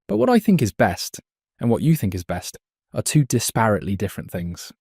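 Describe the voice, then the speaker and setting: A man's voice: fairly low pitch, wildly swooping pitch, fairly quick, gravelly, a little mellow, breathy edge, no echo, clean studio sound, heavily acted. A man acting in an audio drama as a proud, stiffly formal brother. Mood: stiff, earnest.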